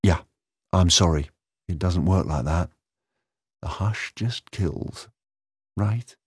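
A man playing an excitable, kindly alien time traveller who rambles enthusiastically in an audiobook story. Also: deep voice, takes it slowly, husky, no echo, studio quality, heavily acted. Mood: gentle, sorry.

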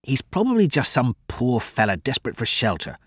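A cheerful young warrior, played by a man argues kindly and brightly, his voice rising and falling with lively swings. He sounds easygoing and sure things will work out. The recording is close and dry. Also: medium pitch, brisk, slightly rough, no room sound. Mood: easygoing upbeat. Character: good-natured, easygoing young male swordsman.

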